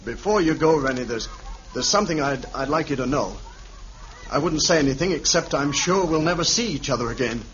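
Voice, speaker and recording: A man's voice: a little high, expressive, a little fast, a bit bright. An actor playing an earnest, anguished man in his twenties, hesitant and emotional, in an old-time radio drama. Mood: downcast, hesitant. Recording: muffled vintage radio recording, music behind.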